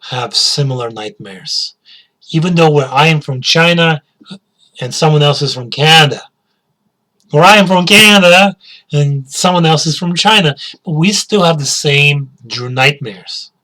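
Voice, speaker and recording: A man's voice: a little high, expressive, measured pace, bright, forceful tone. A male teacher giving a spoken English lesson, asking learners questions and repeating key words.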